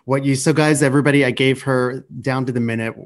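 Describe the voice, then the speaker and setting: Male podcast host, mid pitch, conversational, halting and self-interrupting. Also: steady tone. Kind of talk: casual chat.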